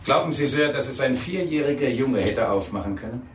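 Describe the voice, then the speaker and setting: An actor playing a suspicious, world-weary police inspector in a radio crime drama, dry, probing and authoritative. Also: male voice, mid-range voice, animated, fairly quick, a little hiss or hum, lightly acted. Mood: probing, formal.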